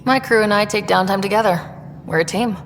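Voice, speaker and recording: A woman playing a gravelly, direct Pathfinder. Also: medium pitch, brisk, big-room sound, a little hiss or hum, natural voice. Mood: steady. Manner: direct, plain-spoken. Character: female Pathfinder, direct and steady leader.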